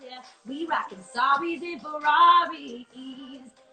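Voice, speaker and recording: High-voiced woman rapping in an echoey room. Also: slow, sharp tone, soft background music. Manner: rhythmic rap flow with Hindi lines and vocal percussion. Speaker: recording artist. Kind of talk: singing and rapping performance, then casual chat. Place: home studio.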